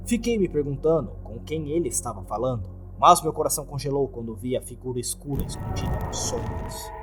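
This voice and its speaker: Man, Brazilian accent, mid pitch, animated intonation, scripted creepypasta narration, background music. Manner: storytelling, expressive. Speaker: horror story narrator.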